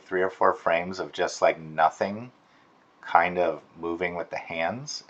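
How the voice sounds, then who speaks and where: Male instructor, deep voice, informal and encouraging critique delivery, tentative phrasing, muffled lo-fi sound. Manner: encouraging, tentative, softening suggestions. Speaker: animation instructor giving a critique. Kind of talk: casual spoken critique of student work. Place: screen-recorded voice-over.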